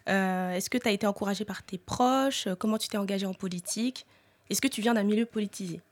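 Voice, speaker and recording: Woman hosting an interview, medium pitch. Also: quick pace. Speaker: podcast host, interviewer. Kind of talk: conversational interview questions.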